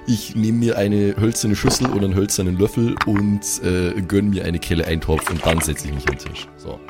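Ein Mann erzählt locker und improvisiert in Ich-Form, was seine Rollenspielfigur tut. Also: male voice, lowish voice, normal speed, loud music behind the voice. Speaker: role-play podcast player. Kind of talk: casual improvised first-person narration.

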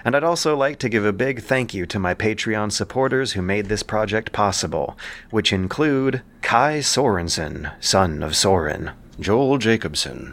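Man, lowish pitch, podcast narrator, scripted commentary, measured literary phrasing, dramatic delivery. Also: fairly bright tone. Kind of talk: scripted commentary on ancient epic and history.

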